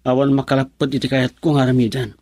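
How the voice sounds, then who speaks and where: An actor playing a selfish straying husband with a Filipino accent, brooding and defiant in a radio drama monologue. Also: male voice, mid-range voice, level tone, fast, a little mellow, lightly acted.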